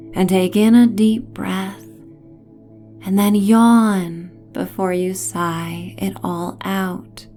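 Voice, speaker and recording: Slow, mellow woman narrating a sleep story. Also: medium pitch. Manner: soothing, gentle, phrases trailing into breathy near-whispers. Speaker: narrator and host of sleep stories.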